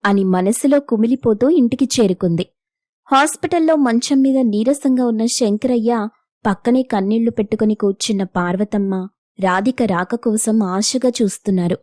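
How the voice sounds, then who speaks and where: A woman's voice: a little high, moderate pace, a little mellow, no room sound, clean studio sound. A woman narrating a scripted moral story as the storyteller.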